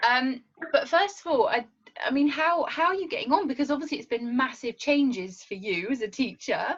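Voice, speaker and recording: A playful woman hosts a relaxed podcast chat and teases her guest. Her voice swings up and down with a slightly raised pitch. The sound is dull and lo-fi. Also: normal speed. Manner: playful, teasing, laughs easily. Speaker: podcast host. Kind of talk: casual chat.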